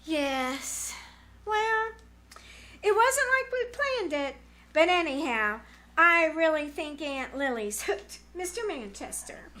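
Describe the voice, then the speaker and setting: A woman's voice: high voice, lively intonation, sharp tone, heavily acted. A woman playing a dramatic, gushing teenage girl in a 1940s-style radio comedy, with exaggerated exclamations. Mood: puzzled, dramatic. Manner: breathless, exaggerated, drawn-out exclamations. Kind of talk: 1940s radio comedy acting before a live audience.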